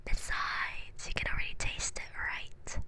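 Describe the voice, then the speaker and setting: A woman voicing a commanding, possessive female vampire mistress in a binaural ASMR audio roleplay, speaking slowly and domineeringly, often whispering close to the ear. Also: close to an everyday voice. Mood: whispered, seductive. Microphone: binaural microphone.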